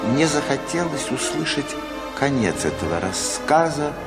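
A man narrating a radio play as a storyteller, in a measured and dramatic way. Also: fairly high pitch, big pitch swings, over loud music.

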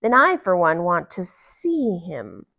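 A woman's voice: lowish voice, wildly swooping pitch, slow, pushed and bright, exaggerated voice. Woman putting on a nosy storybook snake. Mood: curious. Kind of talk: storybook read-aloud.

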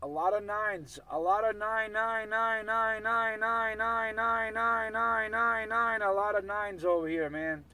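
High-pitched man chattering on noisy CB radio. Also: fairly even intonation, measured pace, hardly any pauses. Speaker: CB radio operator. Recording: noisy CB radio, heard from afar.

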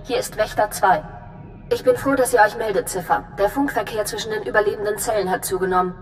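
A serious, composed female intelligence officer acted by a woman delivers a sci-fi game briefing. Her delivery is crisp and official at a middle pitch, in a long reverb with music behind. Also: quick pace, natural voice.

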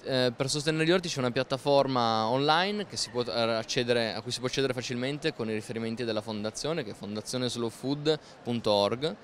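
A young man explains a project in an interview, searching a little for words. His pitch is average, and a handheld mic catches him over clear noise at an indoor fair. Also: a little fast, hardly any pauses. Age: young adult. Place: busy indoor trade fair stand. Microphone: handheld microphone held by interviewer.

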